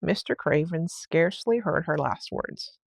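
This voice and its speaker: A woman's voice: lowish voice, expressive, measured pace, vocal fry, husky, dry recording, studio quality. A woman narrating a classic storybook as a bedtime read-aloud. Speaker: story reader.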